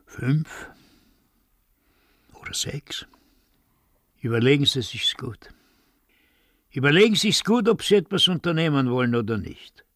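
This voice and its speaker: An actor playing a wary, resigned village witness, confiding in a halting, deliberate way in a radio play. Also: male voice, fairly high pitch, sing-song, fairly bright tone, no room sound, clean studio sound, heavily acted. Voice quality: creaky, gravelly.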